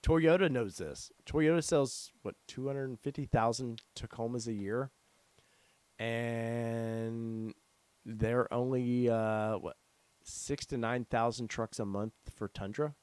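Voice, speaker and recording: A man's voice: mid-range voice, steady tone, slow, a little mellow, clean tone, no echo. A middle-aged man hosting a casual live stream, reading out viewer comments with lots of fillers, his voice getting tired and worn. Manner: rambling, many fillers, reads chat aloud. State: losing his voice. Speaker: podcast host.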